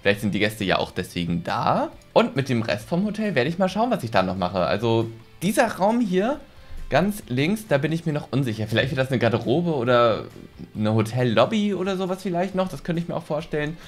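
A man's voice: medium pitch, very animated, fairly quick. A man, casually presenting a gaming video as host at a close desk microphone in a small room. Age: 20s. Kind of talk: casual let's-play presenting to camera. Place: small furnished room indoors. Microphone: desk microphone close to speaker. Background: background music.

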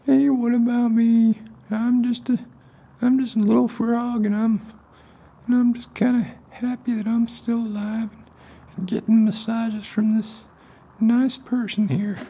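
A man voicing a happy, meek little frog puppet with a halting, childlike way of talking. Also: very high-pitched, little pitch movement, mellow, breathy edge, pitched above the performer's own voice, full character voice. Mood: meek, content.

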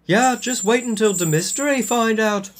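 A man's voice: high-pitched, normal speed, lightly acted. A man voicing a hot-headed, indignant teenage boy who blurts and protests in a comedic fan fiction reading. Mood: defiant.

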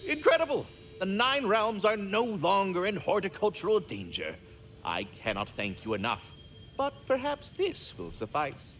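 A man's voice: high voice, wildly swooping pitch, moderate pace, fairly bright tone, slight background noise, full character voice. A man voicing a polite magical squirrel in a video game, with formal, florid, theatrical diction. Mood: grateful.

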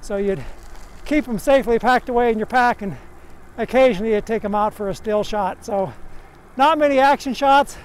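A man's voice: extremely high pitch, normal speed, slight background noise. An older man talking casually to camera while riding a bike on a country road, easygoing and plain-spoken. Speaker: vlogger.

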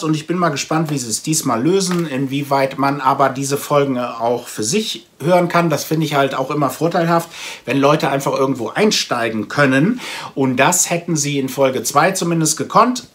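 A man, talking casually and conversationally to camera as a video host, explaining as he goes. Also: a little high. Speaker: comic blog video host. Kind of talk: casual haul vlog talk to camera. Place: small indoor room with shelves of comics.

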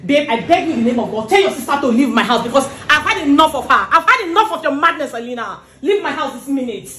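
A woman's voice: high-pitched, expressive, fast talker. A woman playing a young wife who scolds her sister-in-law and orders her out of the house. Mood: furious. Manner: loud, scolding, repeats demands. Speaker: wife in a comedy skit. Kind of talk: family quarrel skit. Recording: echoey room.

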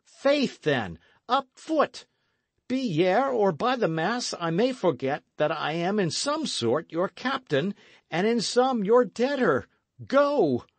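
An impatient young captain barking sharp orders, as a man voices him. The voice rides high above the man's usual pitch and swings wide, sometimes slowing to hammer words home. Dry, close audiobook sound. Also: very animated, no room sound, full character voice. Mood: fierce command. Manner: sharp, commanding, urgent. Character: fierce young male captain, commanding and impatient.

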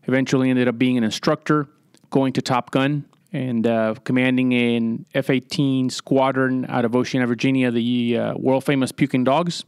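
A middle-aged man from Puerto Rico, a former Navy captain, giving measured, matter-of-fact answers as a podcast guest with frequent pauses and "uh" fillers. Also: medium pitch, steady tone, clean tone, dry recording, clean studio sound. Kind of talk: conversational interview answers.

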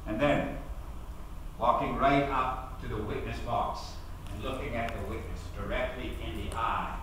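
A middle-aged man playing a defiant, eloquent socialist defendant addressing a jury in theatrical, deliberate courtroom oratory. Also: medium pitch, steady tone, fairly bright tone, close to an everyday voice. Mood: earnest, measured. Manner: declamatory, deliberate, rhetorical. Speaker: actor giving a dramatic reading. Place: large auditorium, speaking at a podium. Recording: echoey hall, distant mic, noisy room.

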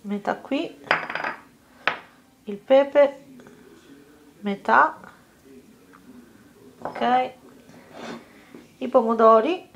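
A woman's voice: a little high, very slow, long pauses, lo-fi sound, slight background noise. A woman talking viewers through a home cooking recipe step by step in a casual, chatty way. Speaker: cooking vlogger. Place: home kitchen table, indoors.

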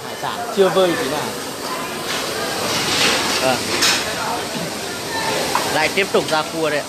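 A man with a slightly high voice films a walkthrough of a packed indoor buffet on his phone. He chats to viewers in a friendly, promotional tone. Loud crowd and dining room noise fill the recording. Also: a little slow. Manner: chatty, addresses viewers as family. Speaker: restaurant staff giving a tour. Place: busy indoor buffet restaurant. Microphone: handheld phone camera.

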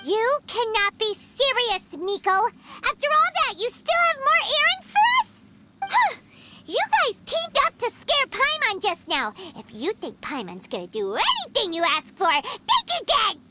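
A woman voicing a tiny, bratty and excitable fairy companion who talks about herself in the third person, in a video game. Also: measured pace, loud music behind the voice, exaggerated voice. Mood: indignant. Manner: squeaky, indignant, refers to herself in third person. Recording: noisy game capture.

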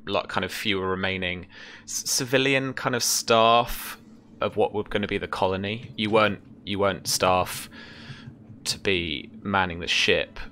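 Man, game master, very low pitch, crisp forward tone, measured descriptive narration, faint noise. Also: sharp tone. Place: small indoor room at home.

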